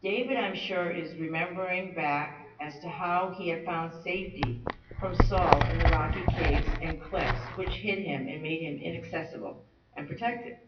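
A man's voice: a little high, normal speed, noisy background. A man teaching a Bible study lesson in an earnest, conversational way with touches of light humor. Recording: roomy, distant, dull-sounding.

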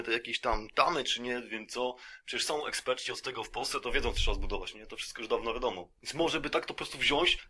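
A man with a very bright, forceful voice at an average pitch hosts a satirical podcast. He talks like a mock lecturer, rambling along conversationally and keeping a mock-serious front. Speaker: podcast host.

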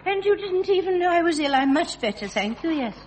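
A dotty, hard-of-hearing lady typist from a radio comedy sketch. Her voice is extremely high and swoops about with chirpy, oblivious confusion. There is faint noise on the old recording. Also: very animated, fairly quick, darkish tone. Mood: chirpy, muddled. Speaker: comic actor.

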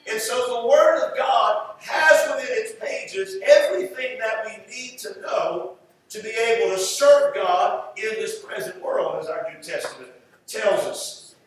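A man preaching a conversational Bible-teaching sermon, halting at times and repeating phrases for emphasis. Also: high voice, expressive. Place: echoey church room. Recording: roomy echo, distant mic, faint noise.

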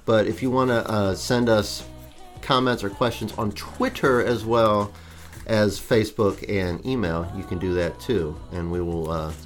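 A man's voice: fairly low pitch, fairly even intonation, unhurried, soft background music. Congested man rambling on a podcast. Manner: rambling, joking, many fillers. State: congested from allergies. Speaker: podcast co-host. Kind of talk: casual chat.

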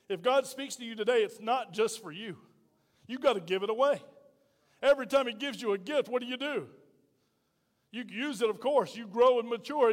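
High-pitched preacher man in echoing hall. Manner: emphatic and folksy, with rhetorical questions and repetition. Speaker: pastor. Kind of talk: sermon to a congregation. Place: church sanctuary. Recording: reverberant hall sound.

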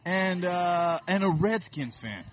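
A man's voice: high-pitched, animated, takes it slowly, crowd noise. A man co-hosting a casual podcast, teasing his co-host in an amused way. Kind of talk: casual chat.

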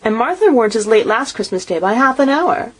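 Woman doing a bustling, fussing mother; raised pitch, affectionate. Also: big pitch swings, a little fast. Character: bustling warm-hearted poor mother.

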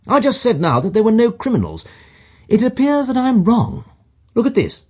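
A man's voice: very high voice, big pitch swings, normal speed, slightly rough, muffled recording, voice pushed up, heavily acted. A man voicing a self-assured, superior detective in an audiobook, with emphatic, clipped pronouncements and dry condescension. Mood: calm, brisk. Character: brisk, self-assured detective, languid yet energetic and superior. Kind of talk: audiobook character dialogue.

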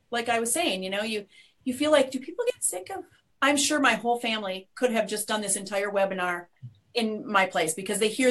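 A Midwestern American woman speaking as a guest on a webinar, chatty and upbeat, with many restarts. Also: on the high side. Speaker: guest, media company owner. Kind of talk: webinar conversation.

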